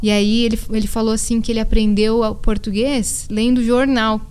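A woman's voice: a little high, flat delivery, moderate pace, a little mellow, clean tone. A woman, a podcast guest in casual chat, telling a family story with slangy fillers.

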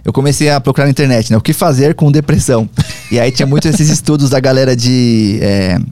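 Brazilian male guest, speaks fast and searching, mellow voice in a dry room. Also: medium pitch, no room sound. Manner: reflective, halting, many fillers and restarts. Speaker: podcast guest, travel platform founder. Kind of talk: casual conversational interview.

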